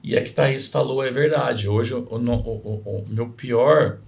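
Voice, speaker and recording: A man's voice: mid-range voice, slow, vocal fry, a little mellow. A man from Minas Gerais with a Mineiro accent, a physician guest chatting on a podcast, rambling and dropping frequent tag questions. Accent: Mineiro Brazilian Portuguese. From Minas Gerais, Brazil. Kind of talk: casual podcast conversation.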